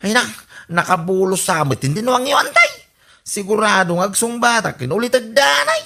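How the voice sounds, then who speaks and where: She is a jittery village gossip in an audio comedy, voiced by a woman. Her voice is gravelly and breathy, bright and fairly high. She stammers, blurts and swoops all over the place as she talks. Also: sing-song, moderate pace, exaggerated voice. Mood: flustered. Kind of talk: comedy radio drama acting.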